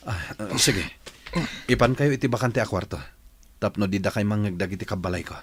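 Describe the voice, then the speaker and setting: Man acting concerned village brother, low voice. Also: fairly quick, a little hiss or hum, natural voice. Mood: surprised, wary. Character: concerned village older brother sheltering his rebel cousin. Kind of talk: Ilocano radio drama acting.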